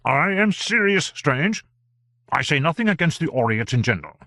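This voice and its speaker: A man reading an audiobook, voicing an earnest male government gentleman who speaks emphatically and exclaims in surprise. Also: on the high side, big pitch swings, normal speed, dry recording, clean studio sound, voice pushed up, exaggerated voice.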